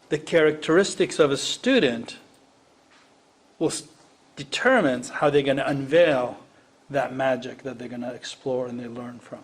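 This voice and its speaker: A man from Iraq speaking unscripted on a panel, emphatic, repeating phrases and posing rhetorical questions. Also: medium pitch. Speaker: education panelist, former science teacher. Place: live panel event.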